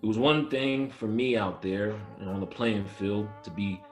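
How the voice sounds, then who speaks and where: Man acting a low-voiced, proud ballplayer. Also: normal speed, reverberant, faint music behind, natural voice. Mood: composed, reflective. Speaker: actor at a table read. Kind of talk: dramatic first-person script reading.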